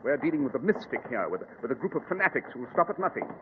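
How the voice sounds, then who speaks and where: A man plays an incisive detective of vintage radio drama, grave and commanding. He speaks quickly and precisely with a lively, swooping delivery, heard through a thin, tinny old broadcast. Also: mid-range voice, natural voice. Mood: grave, urgent. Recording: echoey, hissy, tinny old radio recording.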